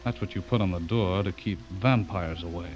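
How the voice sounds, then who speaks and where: An actor playing a courteous but uneasy bachelor writer in a radio drama, guarded and brooding. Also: male voice, low-pitched, moderate pace, natural voice. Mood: brooding, troubled. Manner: polite, guarded, brooding when narrating. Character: courteous, uneasy older bachelor writer suspected of being a vampire. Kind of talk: radio drama acting with first-person narration. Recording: dull lo-fi radio recording, music behind.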